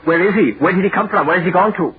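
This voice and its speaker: An actor playing an exasperated, skeptical defence attorney in a 1930s radio drama, impatient and hectoring. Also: male voice, high-pitched, very fast, faint music behind, lightly acted. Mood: incredulous. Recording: noisy, tinny 1930s radio broadcast.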